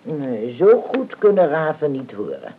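Dry housekeeper, low lively voice. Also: female voice, unhurried, husky, exaggerated voice. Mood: patient. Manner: matter-of-fact, gently chiding. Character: dry, patient, down-to-earth housekeeper woman. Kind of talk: radio play acting. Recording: muffled phone-like old radio recording, hiss.

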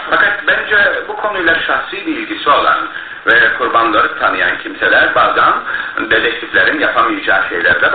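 Through a narrow, echoing radio recording comes a shrewd, courteous detective. His pitch swings dramatically from low murmurs to high exclamations, and he pads his probing questions with small thoughtful hums. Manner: hesitant interjections, polite probing questions. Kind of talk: Turkish radio theatre acting. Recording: muffled phone-like noisy old radio, roomy echo.

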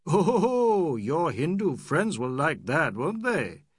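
A man's voice: on the high side, sing-song, slow pace, breathy edge, no echo, clean studio sound, voice pushed up, full character voice. A man reading a sardonic Englishman who teases and jeers. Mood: jeering. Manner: jeering, sardonic.

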